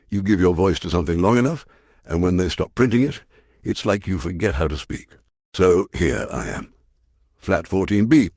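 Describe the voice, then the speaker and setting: Wry, low-voiced older newspaperman, acted by a man. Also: a little mellow, no room sound, clean studio sound. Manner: wry, muttering to himself. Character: world-weary retired war correspondent starting over alone. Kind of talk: audio drama acting, musing aloud.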